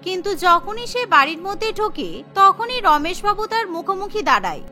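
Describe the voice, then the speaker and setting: Woman, cartoon narrator, high voice, brisk, faint background music. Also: big pitch swings.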